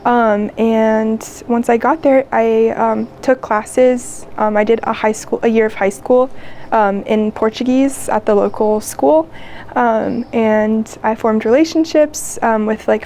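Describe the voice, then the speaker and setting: Mellow-voiced young American woman, hesitant. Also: on the high side, slight background noise. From United States. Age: 20s. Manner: hesitant, many ums and likes. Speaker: interview guest. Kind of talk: casual interview chat.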